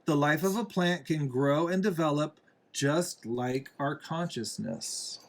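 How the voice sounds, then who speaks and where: A man reading a children's picture book aloud in a deliberate way, pausing between phrases. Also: on the high side. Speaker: reader, parent reading to a young child.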